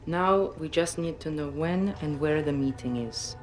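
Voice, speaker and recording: An actress playing a controlling ex-special-forces interrogator who speaks in a measured, menacing way. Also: female voice, low-pitched, soft background music. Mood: cold, menacing.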